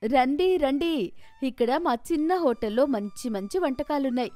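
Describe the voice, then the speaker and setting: A woman voicing a humble, earnest old village cook in an animated moral story, speaking deferentially. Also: high voice, normal speed, no echo, soft background music. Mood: hopeful.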